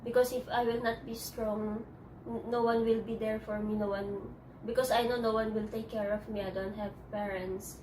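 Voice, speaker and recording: Filipino accented woman, tagalog native, stops and restarts a lot, kinda high voice, echoey room, mic far off. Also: level tone, noisy background. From Philippines. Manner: halting, hesitant, many restarts. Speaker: interviewee. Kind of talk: personal interview.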